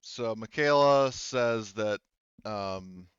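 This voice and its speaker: A man with a smooth, clear voice runs a tabletop game in conversational style. He explains and qualifies as he goes, informal throughout. The track is dry and a little dull. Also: fairly low pitch, drawn-out words, fairly bright tone, dry recording. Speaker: game master. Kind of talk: casual tabletop game narration.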